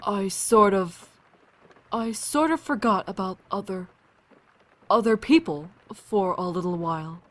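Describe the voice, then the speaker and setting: A woman acting a weary, compassionate and wry scientist in an audio drama, speaking in a halting, thoughtful way. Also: mid-range voice, fairly bright tone. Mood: troubled.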